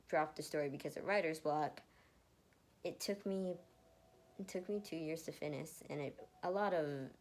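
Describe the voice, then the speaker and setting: A woman's voice: low-pitched, creaky, a little mellow. A woman narrating fan fiction and chatting casually to viewers, informal and stumbling now and then over words. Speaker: fan fiction author and reader.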